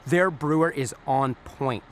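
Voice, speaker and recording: A man's voice: mid-range voice, a little slow, slight background noise, natural voice. An actor playing a self-absorbed, offhand male driver and coffee snob in an audio drama, talking in dismissive slang. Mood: flippant.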